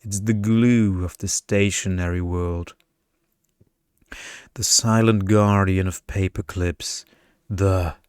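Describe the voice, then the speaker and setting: Man voicing a slow, droning energy vampire. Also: low voice, fairly even intonation, a little mellow, clear, resonant tone, no room sound, clean studio sound. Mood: droningly enthusiastic. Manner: droning, pompous lecturing. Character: tediously pedantic, seductive male energy vampire. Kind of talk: ASMR storybook character reading.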